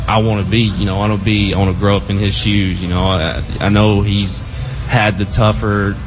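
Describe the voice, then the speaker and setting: A young man, a football player guest, talking casually in an interview, halting and full of fillers. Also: on the low side, little pitch movement. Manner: laid-back, halting, many fillers. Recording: dull lo-fi audio.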